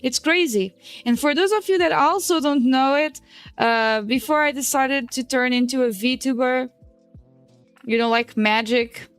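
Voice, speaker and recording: Twenties woman streamer, native Portuguese speaker; unhurried, slightly high voice, loose and profane. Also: smooth, dry recording, soft background music. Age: 20s. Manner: chatty, swears and laughs often. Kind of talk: casual chat while drawing.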